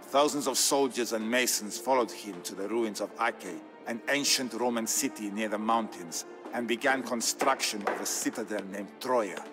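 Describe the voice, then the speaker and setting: A male actor plays a solemn Byzantine Greek man recounting his family's wars. His voice sits low, and he speaks in a measured, theatrical manner, with weighty gravity. It is scripted storyline narration for a strategy game, set over clearly audible music. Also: close to an everyday voice. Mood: stern, proud.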